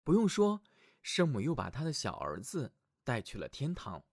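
A man narrating fairy tales for children as a storyteller, reading expressively and dramatically from a script with clear phrasing. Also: medium pitch, huge pitch swings, moderate pace, a little mellow, dry recording, clean studio sound. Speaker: children's storyteller, show host.

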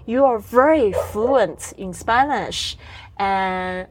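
A woman's voice: mid-range voice, takes it slowly, crowd noise, poor audio. Chatty woman, native Mandarin speaker. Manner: candid and chatty, with frequent fillers and restarts. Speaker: podcast host. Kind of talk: casual conversational interview.

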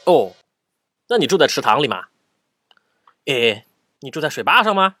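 A woman's voice: low voice, very animated, moderate pace. A woman voicing a naive, curious, puzzled young rabbit in a children's audio drama, asking things in a hesitant, wondering way. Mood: innocent curiosity. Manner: wondering, hesitant questions, innocent. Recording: music behind the voice.

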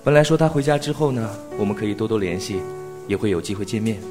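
Man acting wistful, low-voiced young man. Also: lively intonation, fast, natural voice. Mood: resigned longing. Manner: reflective, nostalgic, gentle. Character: wistful young man longing for a lost love. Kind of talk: Chinese audio drama acting. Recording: music behind the voice.

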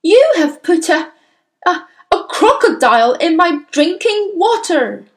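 Woman acting out vicious storybook headmistress, furious, bellowing, ranting, wildly swooping pitch, drawn-out delivery. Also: sing-song, full character voice. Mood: bellowing fury. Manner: bellowing, ranting, venomous insults. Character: enraged tyrannical adult woman headmistress, bullying and vicious.

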